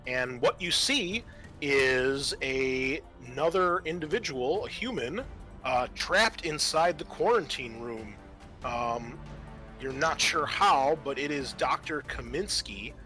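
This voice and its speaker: Guy gm-ing a horror tabletop game, sharp bright voice, dramatic but halting, some music in the back. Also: mid-range voice. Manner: halting delivery with vivid, dramatic descriptions. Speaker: game master. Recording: music playing behind.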